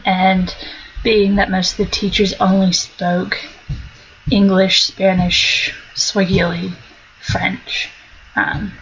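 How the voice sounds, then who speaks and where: A woman's voice: fairly low pitch, muffled recording, slight background noise. A woman with a blended American and Australian accent, talking casually in a monologue with many ums and restarts. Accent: mixed American-Australian. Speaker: vlogger.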